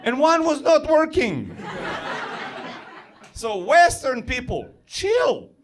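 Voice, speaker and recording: Male stand-up comic, Serbo-Croatian accent, lively pitch, measured pace. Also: crowd noise. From former Yugoslavia. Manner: animated, self-deprecating, builds to yells. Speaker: stand-up comedian. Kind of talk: stand-up comedy set.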